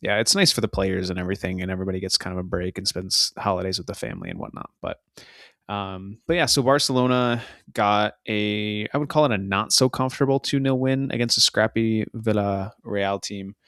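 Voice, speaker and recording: A man's voice: fairly low pitch, steady tone, brisk, fairly bright tone, no echo, clean studio sound. A man co-hosting a casual soccer podcast, chatting through match recaps in a rambling, easygoing way. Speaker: podcast co-host.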